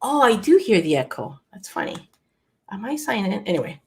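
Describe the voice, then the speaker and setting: A woman's voice: mid-range voice, fairly quick. A woman in her forties from Peru, telling a personal story in a casual chat with many fillers and restarts, recorded over a video call. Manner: animated, laughs often, many fillers and restarts. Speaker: co-host.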